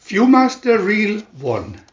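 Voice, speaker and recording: A man reading a scripted picture storybook aloud in short, deliberate phrases with pauses between them. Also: high-pitched, wildly swooping pitch, reverberant, highs cut off. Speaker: reader of picture-story booklets.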